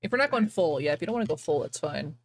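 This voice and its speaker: A woman's voice: low-pitched, expressive, rapid-fire, no room sound. A woman hosting a casual livestream, talking in a playful, teasing way. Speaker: livestream host.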